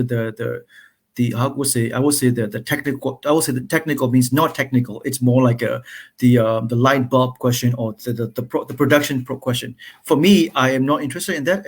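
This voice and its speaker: Halting man giving study tips. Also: mid-range voice. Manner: halting, many restarts and fillers. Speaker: guest. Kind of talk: conversational advice over a call.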